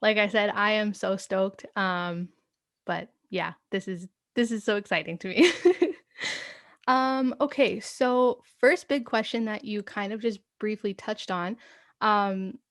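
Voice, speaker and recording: A woman's voice: mid-range voice, unhurried, a bit bright, no echo, clean studio sound. Bubbly woman hosting a podcast. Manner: bubbly, enthusiastic, laughs often. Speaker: podcast host. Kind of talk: casual podcast interview.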